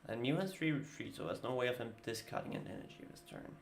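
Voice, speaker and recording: A man whose voice is gravelly, with a Danish accent, muttering through his turns as he plays a card game on stream. His pitch sits in a middling range, and a faint hiss runs underneath. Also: animated, quick pace. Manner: thinking aloud while playing, casual, muttering asides. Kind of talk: live gameplay commentary.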